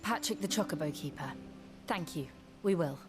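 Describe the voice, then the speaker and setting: A woman's voice: low voice, animated. Composed woman traveller, music behind. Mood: composed, courteous. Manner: polite, measured. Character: composed, polite woman traveller making enquiries. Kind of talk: video game cutscene acting.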